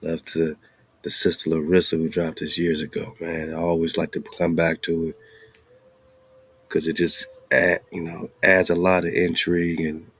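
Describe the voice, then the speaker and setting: Very deep-voiced man, casual and slangy. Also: monotone, moderate pace, fairly bright tone, husky. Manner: informal, slangy asides. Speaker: radio show host. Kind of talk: casual commentary mixed with reading aloud.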